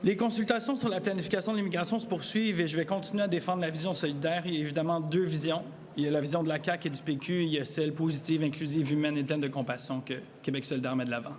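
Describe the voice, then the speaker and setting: A man speaks earnestly at a press conference, his voice a touch high. Light background noise runs underneath. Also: steady tone, rapid-fire, hardly any pauses. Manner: moved, earnest. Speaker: politician holding a press conference.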